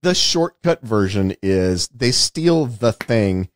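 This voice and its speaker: A man's voice: lowish voice, lively intonation, slow pace, dry recording, studio quality. A man co-hosting a casual film discussion, explaining his points emphatically and often rephrasing them. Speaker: podcast co-host discussing films.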